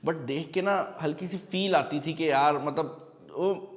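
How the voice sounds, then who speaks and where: High-voiced Pakistani man in a reverberant room. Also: a little slow. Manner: conversational, mixes English phrases into speech. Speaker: tech reviewer and channel host. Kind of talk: product review and unboxing, casual explaining to viewers. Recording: long reverberant room echo.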